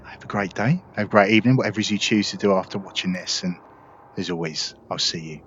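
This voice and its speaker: A man's voice: deep voice, quick pace, a bit bright, dull audio, slight background noise. A man hosting a gameplay video, explaining things informally in casual commentary. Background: spaceship game sounds.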